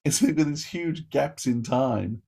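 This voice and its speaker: A man co-hosting a podcast, giving a casual, enthusiastic review in a halting, effusive way. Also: mid-range voice, expressive, vocal fry, warm tone, husky.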